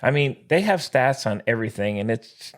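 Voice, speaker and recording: A man's voice: medium pitch, lively intonation, moderate pace. A man co-hosting a podcast, chatting casually about baseball in a blunt, grumbling way and swearing when annoyed. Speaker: podcast co-host. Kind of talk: casual sports chat.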